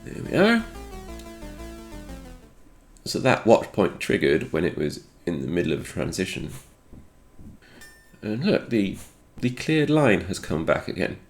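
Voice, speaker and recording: A man's voice: deep voice, wildly swooping pitch, unhurried, pauses often, soft background music. A man with a slightly congested voice, recovering from a cold, thinking aloud through an unscripted technical walkthrough. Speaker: host of a retro game programming video.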